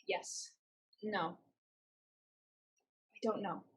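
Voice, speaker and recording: A woman acting an earnest, probing survivor who keeps a pirate company in a staged play reading, her voice husky and breathy. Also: mid-range voice, fairly even intonation, very slow, exaggerated voice. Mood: hesitant, sober.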